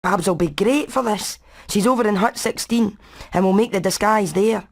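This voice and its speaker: Game casting: Scottish female hen engineer, medium pitch, clever, matter-of-fact, precise about technical plans. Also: moderate pace, creaky. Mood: practical. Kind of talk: animated video game acting.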